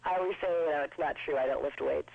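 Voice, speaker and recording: Upbeat young woman with a low gravelly voice, dry dull lo-fi sound. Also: a loud crowd. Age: 20s. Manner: chatty and upbeat, quick with jokes. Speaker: interview guest. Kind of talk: casual interview.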